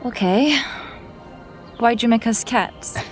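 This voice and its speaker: A woman voicing a young woman mage in an audio drama, nervous but quick with dry, self-mocking humor. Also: fairly high pitch, soft background music. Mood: curious.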